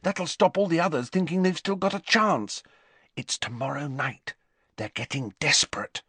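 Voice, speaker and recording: A man's voice: fairly high pitch, pushed and bright, slightly rough, dry recording, pitched above the performer's own voice, full character voice. A man voicing a clever, bossy teenage schoolgirl who lectures scornfully. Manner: brisk and lecturing.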